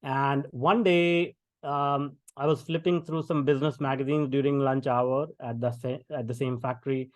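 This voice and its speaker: A man's voice: medium pitch, flat delivery, normal speed, few pauses, no echo. Indian man, dry close sound. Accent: Indian English. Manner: conversational, many fillers and restarts. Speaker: guest, tech founder. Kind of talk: podcast interview.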